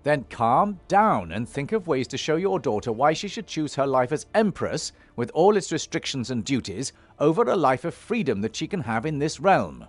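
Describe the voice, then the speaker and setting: An actor playing a calm, firm warrior hero and peacemaker in a fantasy game, speaking in a measured, earnest way. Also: male voice, a little high, no room sound, faint music behind.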